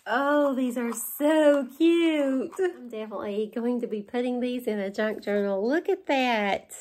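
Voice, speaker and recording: Gushing Southern woman, slow and chatty. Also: fairly high pitch, a little mellow. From West Virginia. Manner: gushing, chatty, laughs often. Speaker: haul vlogger. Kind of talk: casual show-and-tell haul. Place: living room at home. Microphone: camera in front at close range.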